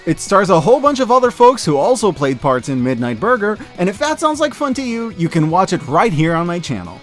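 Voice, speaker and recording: A man giving scripted video-essay commentary as an online reviewer, enthusiastic and expressive with wry asides. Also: high voice, a little fast, faint music behind. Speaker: online media reviewer and host.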